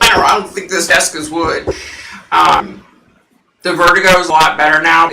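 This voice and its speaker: A woman's voice: deep voice, vocal fry. A woman with a scratchy voice, a psychic host chatting in a rambling way with viewers on a home livestream, full of fillers. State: scratchy, husky voice. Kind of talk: casual live-stream chat with viewers. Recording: roomy, distant from the mic.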